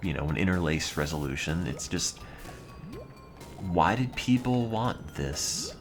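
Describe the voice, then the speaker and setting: A man's voice: low-pitched, big pitch swings, a little slow. A young adult man streaming and answering his chat in a dry, rambling, offhand way. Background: music playing.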